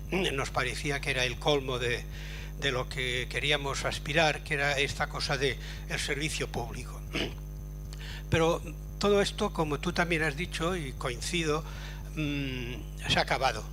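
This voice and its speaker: An older man from Barcelona, speaking up from the audience in a symposium discussion, thoughtful and halting with many pauses. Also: medium pitch. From Barcelona, Spain. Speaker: audience member asking a question. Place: lecture hall. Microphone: room microphone near a computer.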